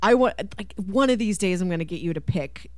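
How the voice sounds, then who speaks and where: A woman's voice: lowish voice, expressive, a little fast, no room sound. A woman hosting a podcast, chatting casually about pop culture and laughing readily. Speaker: podcast host.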